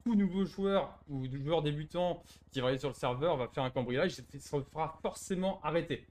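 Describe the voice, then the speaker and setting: A man's voice: a little high, moderate pace, darkish tone. A man arguing casually as a player in an online role-play chat, insistent and protesting.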